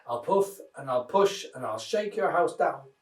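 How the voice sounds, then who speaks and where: A man voicing a big bad hungry wolf in a children's storybook, greedy and menacing, with a deep growl. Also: animated, unhurried. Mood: angry, threatening. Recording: roomy echo, distant microphone.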